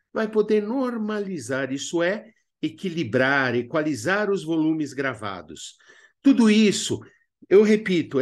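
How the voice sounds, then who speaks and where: A man with a Brazilian accent presenting an instructional lesson as a workshop teacher, didactic and emphatic with informal asides. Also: medium pitch. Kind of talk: instructional video lesson narration.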